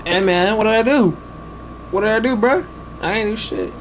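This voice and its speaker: Higher-pitched man, slangy player banter. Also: moderate pace, slight background noise.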